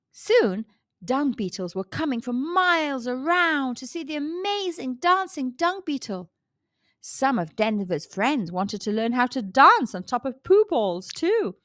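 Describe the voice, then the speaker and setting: Female children's storytime narrator: high voice, very animated, unhurried, expressive read-aloud. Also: dry recording, clean studio sound.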